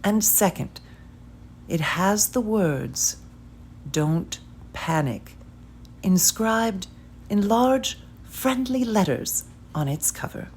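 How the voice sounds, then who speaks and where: A woman giving a scripted literary narration, reading a story in measured, deliberate phrases with pauses. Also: mid-range voice, animated, a little mellow, slight background noise.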